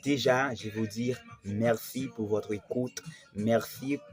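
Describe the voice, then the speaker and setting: A man's voice: medium pitch, steady tone, takes it slowly, faint music behind. Haitian man teaching Spanish on TV. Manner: repetitive, stresses key words. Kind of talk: televised language lesson.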